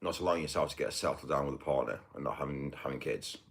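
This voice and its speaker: Super deep british guy livestreaming, gravelly and a bit husky, mumbles along with lots of uhs. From United Kingdom. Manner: halting, mumbly, with many fillers. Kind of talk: casual livestream chat. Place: indoors, getting ready to go out.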